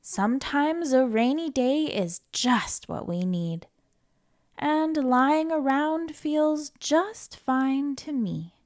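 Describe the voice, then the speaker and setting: A woman reading a children's picture book aloud in a gentle, playful, reassuring way, sometimes giggling. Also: high voice, takes it slowly. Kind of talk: read-aloud storytime.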